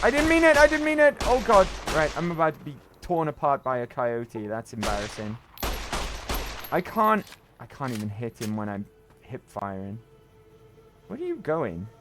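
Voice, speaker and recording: Male game streamer, croaky, fairly high and very animated, casual running chat with viewers. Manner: chatty, jumpy exclamations, greets viewers. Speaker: livestreamer. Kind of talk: casual gameplay livestream chat.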